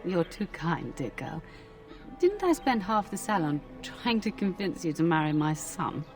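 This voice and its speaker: A woman's voice: fairly low pitch, big pitch swings, unhurried, recorded from a distance, music in the background. A woman playing an effusive middle-aged noble lady in a fantasy game, a genteel and gushing matchmaking mother. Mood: genteel, eager.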